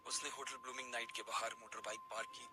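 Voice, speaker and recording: A man's voice: medium pitch, little pitch movement, fast talker, heavy background noise. A man narrating an audio story in a dramatic storytelling style.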